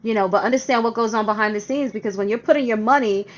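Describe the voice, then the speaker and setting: A woman's voice: a little high, fast, muffled recording, a little hiss or hum. A woman co-hosting a radio interview over a remote call, chatting casually in an engaged, affirming way. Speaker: radio show co-host interviewer.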